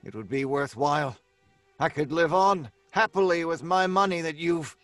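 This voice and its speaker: A cornered, anxious writer, acted by a man in old-time radio style. His voice sits fairly high, and he comes across as stubborn yet flustered and hesitant. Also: normal speed, dry recording, soft background music, natural voice. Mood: wary, defiant.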